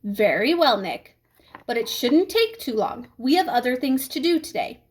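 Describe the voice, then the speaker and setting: A woman reading a children's storybook as a strict, controlled female schoolteacher, speaking in a measured, polite, authoritative way. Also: a little high, very animated, slightly rough. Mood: controlled annoyance. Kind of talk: children's storybook read-aloud.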